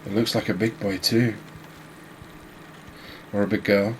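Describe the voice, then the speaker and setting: A man giving soft-spoken, unhurried ASMR commentary as he plays a game, musing aloud to his viewers. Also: lowish voice, steady tone, slight background noise, low-quality recording. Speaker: game streamer, host.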